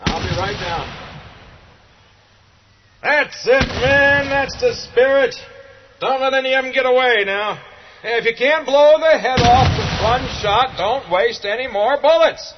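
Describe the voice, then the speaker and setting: An actor playing a weary, wry sheriff in a radio play, with a folksy, offhand, hesitant delivery. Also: male voice, very high voice, unhurried, highs cut off, noisy background. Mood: gruff, commanding. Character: weary, gruff, wry male small-town sheriff.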